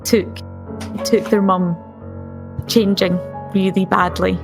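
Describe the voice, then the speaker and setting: Slow-paced woman player over piano music. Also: medium pitch, little pitch movement. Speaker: tabletop game player. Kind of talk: describing her character's backstory. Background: piano or keyboard music.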